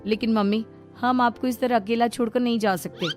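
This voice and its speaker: A woman's voice: a little high, steady tone, brisk, darkish tone, soft background music, close to an everyday voice. A woman voicing a caring, agreeable young adult daughter in a cartoon story, speaking earnestly.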